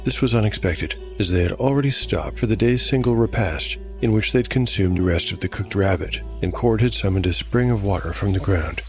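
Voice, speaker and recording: A man giving measured, literary scripted narration as storyteller and game master of a fantasy audiodrama. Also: fairly low pitch, darkish tone, soft background music.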